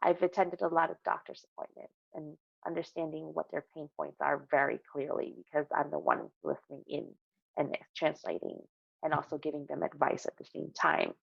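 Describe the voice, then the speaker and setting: Low-pitched American woman, slightly gravelly. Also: dry recording, highs cut off. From Connecticut, United States. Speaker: interview guest, coach. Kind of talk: conversational interview, sharing personal experience.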